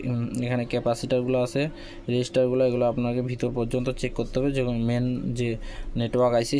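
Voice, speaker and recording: A man's voice: mid-range voice, warm tone, slight background noise. A man presenting a casual hands-on repair tutorial, explaining step by step and often repeating his phrases. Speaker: phone repair tutorial host.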